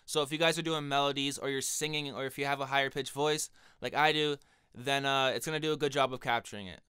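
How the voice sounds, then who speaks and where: A man's voice: fast talker, clear, resonant tone, dry recording. A young man with a cold, talking casually to his viewers while reviewing a microphone in a small home studio, speaking into a studio condenser mic. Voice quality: higher-pitched voice. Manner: relaxed, chatty, addresses viewers directly. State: has a cold. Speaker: music producer reviewing a microphone. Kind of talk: casual product review vlog. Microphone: studio condenser mic on stand in front of him.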